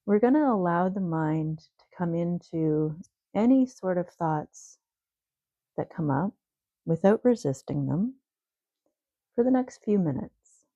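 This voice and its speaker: A woman with a fairly low, very mellow voice guides a sleep meditation. She gives her instructions gently and soothingly. The recording is very dry and slightly dull. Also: lively intonation, slow, long pauses, dry recording.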